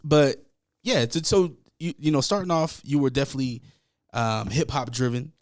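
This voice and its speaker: A man's voice: mid-range voice, moderate pace, dry recording, clean studio sound. A man hosting a casual podcast interview, teasing his guest and asking loose, joking questions. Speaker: podcast host.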